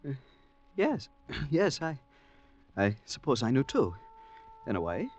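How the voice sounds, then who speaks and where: A man's voice: medium pitch, wildly swooping pitch, takes it slowly, darkish tone. An actor in a 1940s radio drama playing a polite, timid male traveller swept into heroism, earnest and hesitant in delivery. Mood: nervous. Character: timid, polite male traveller turned infatuated accidental hero. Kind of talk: 1940s radio acting and first-person narration. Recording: restored 1940s radio, faint noise, music.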